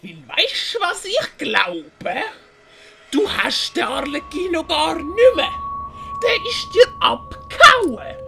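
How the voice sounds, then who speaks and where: Creaky, stingy old man, acted by a woman. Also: very high voice, sing-song, slow pace, over music, pitched above the performer's own voice, exaggerated voice. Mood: defiant. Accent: Swiss German. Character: stingy but soft-hearted old man, indignant. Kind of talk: audiobook character acting.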